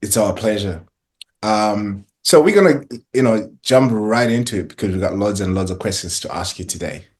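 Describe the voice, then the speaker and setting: A man hosting a casual interview podcast, asking questions in a relaxed way with plenty of fillers. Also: fairly low pitch, normal speed. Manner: relaxed, many fillers like um and you know. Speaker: podcast host.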